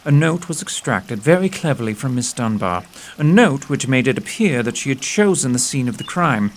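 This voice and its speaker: Male actor as self-assured detective, precise old-fashioned diction, audio drama, mid-pitched, faint background noise. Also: very animated, moderate pace, lightly acted. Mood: explaining, grave. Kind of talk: audio theatre acting.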